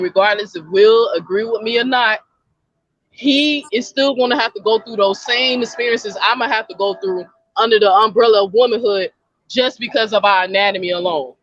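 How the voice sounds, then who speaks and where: A woman's voice: fairly high pitch, no echo, highs cut off. A woman guest on a talk panel, speaking bluntly and colloquially to the group. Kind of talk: casual debate chat.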